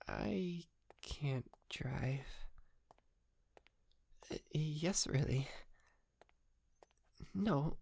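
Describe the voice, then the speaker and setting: A man playing a polite, sheepish, wealthy young yandere kidnapper in an ASMR roleplay, speaking softly and hesitantly with stammers and breathy whispered moments. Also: mid-range voice. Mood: embarrassed.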